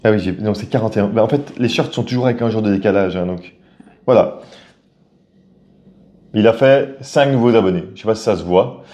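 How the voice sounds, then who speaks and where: Chatty middle-aged man explaining calmly. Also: lowish voice, brisk, long pauses, highs cut off. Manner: relaxed, chatty, explains step by step. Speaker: family vlogger. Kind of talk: casual chat to camera.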